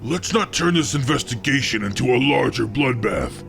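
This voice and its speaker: A stern Horde warrior played by a man with a heavily gravelled, creaking voice. He is gruff and dutiful, speaking in a fantasy radio drama with faint music behind him. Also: medium pitch, lively intonation, moderate pace. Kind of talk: fantasy radio play acting.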